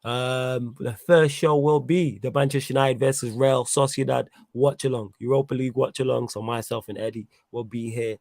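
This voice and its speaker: A man's voice: medium pitch, steady tone, moderate pace, hardly any pauses, darkish tone, no echo, studio quality. A man hosting a casual live football fan chat, full of slangy banter. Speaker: host of a football fan show.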